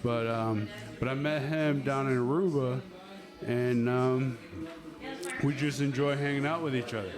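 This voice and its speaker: A man's voice: medium pitch, steady tone, measured pace. A man hosting a casual podcast, rambling with lots of fillers and cutting in often, in a busy venue. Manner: rambling, many fillers, keeps interrupting. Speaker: podcast host. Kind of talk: casual chat. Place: busy venue with background chatter. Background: music and chatter.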